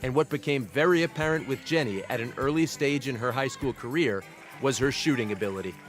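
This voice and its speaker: A man reading a scripted tribute narration in a measured, formal way. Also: mid-range voice. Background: music.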